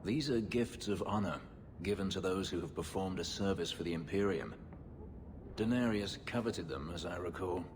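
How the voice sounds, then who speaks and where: A man voicing a brooding, bitter warrior in a video game, speaking in a measured, grave, dryly sardonic way. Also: sits low, big-room sound, background noise, close to an everyday voice. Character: brooding, bitter male warrior from a slave-keeping empire.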